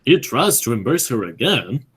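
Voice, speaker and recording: A man's voice: mid-range voice, sing-song, moderate pace, fairly bright tone, exaggerated voice. A man narrating stage directions for an amateur radio play in a scripted reading style. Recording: clearly noisy amateur recording.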